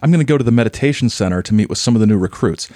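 A man's voice: mid-range voice, animated, rapid-fire, mellow, no room sound, studio quality, natural voice. Actor as naive, hesitant male believer. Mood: mild, sincere. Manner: hesitant, guileless. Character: naive, sincere male alien contactee and meditation leader. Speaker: actor in an audio play.

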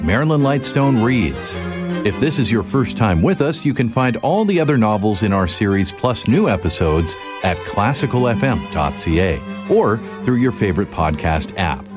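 A man's voice: lowish voice, sing-song, moderate pace. A man announcing a scripted podcast introduction. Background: violin music.

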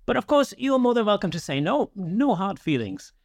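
Male podcast host, fairly high register, very animated intonation, dry studio-close sound. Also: a little fast, no room sound. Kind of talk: scripted introduction and casual interview.

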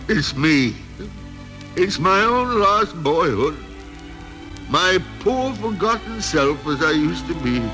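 Give this voice to a grumpy, fearful old miser in an old radio play. He speaks slowly with wide swings of pitch, muttering and gasping. Music plays loudly behind him. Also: sing-song, rough recording. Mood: sorrowful, regretful. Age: older. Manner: muttering, gasping, repeating words. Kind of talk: old-time radio drama acting.

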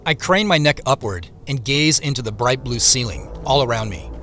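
A man acting as the observant first-person narrator of an audio drama, telling the scene in a measured, descriptive way. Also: medium pitch, animated, pushed and bright, faint music behind. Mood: watchful.

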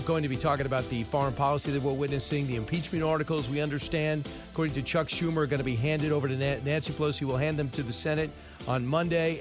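A man hosting a radio talk show, rattling through the news with wry asides and quick opinions. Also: a little high. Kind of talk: casual news-commentary monologue. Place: radio studio.

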